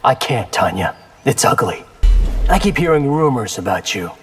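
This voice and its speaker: An actor playing a cocky, determined young warrior in a video game, casual and wry in delivery. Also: male voice, medium pitch, sing-song, moderate pace, fairly bright tone, soft background music. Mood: defiant. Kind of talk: video game character dialogue.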